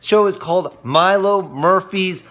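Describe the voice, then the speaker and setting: A man's voice: sits high, unhurried, bright, forceful tone. A man chatting casually while reviewing a cartoon, rambling and hesitant with many fillers.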